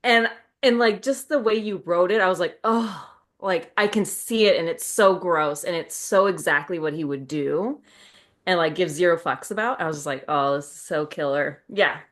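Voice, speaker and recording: A woman writer in a casual podcast chat, speaking informally and reacting with expressive enthusiasm. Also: fairly low pitch, normal speed.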